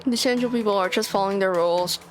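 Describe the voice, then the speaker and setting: A woman's voice: mid-range voice, quick pace, noisy background. A woman streamer reacting casually and chattily to game dialogue. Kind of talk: casual reactions over gameplay.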